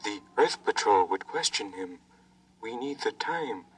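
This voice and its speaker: A curt Martian spider leader. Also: male voice, lowish voice, animated, a little slow. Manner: curt and commanding. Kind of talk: 1950s radio drama acting. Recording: distant from the mic.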